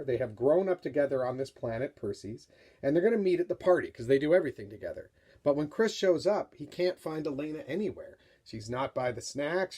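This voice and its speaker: A middle-aged man giving an author's talk and read-aloud for young readers, telling it in an animated, dramatic storyteller's manner. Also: mid-range voice. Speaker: children's author reading from his book.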